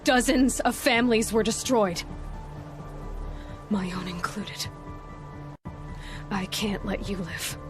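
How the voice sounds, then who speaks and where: A woman's voice: mid-range voice, slow, long pauses, over music, close to an everyday voice. A woman playing a determined, unforgiving young hunter in a video game cinematic, speaking in a solemn, resolute way. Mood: grim resolve.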